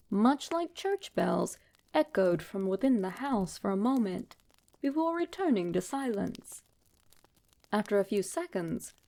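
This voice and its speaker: A woman reading a scripted horror story as a narrator, in a measured, dramatic manner. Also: mid-range voice, darkish tone.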